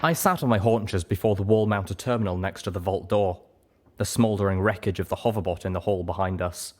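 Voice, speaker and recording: A man reading a story aloud as expressive, scripted first-person narration. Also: sits low, fairly quick, a little hiss or hum. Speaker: audiobook reader.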